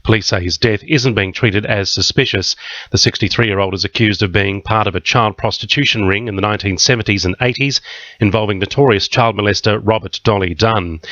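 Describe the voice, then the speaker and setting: Low-pitched Australian male newsreader, dry sound. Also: pushed and bright, no room sound, lo-fi sound. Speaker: radio news reader. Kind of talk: scripted news bulletin reading.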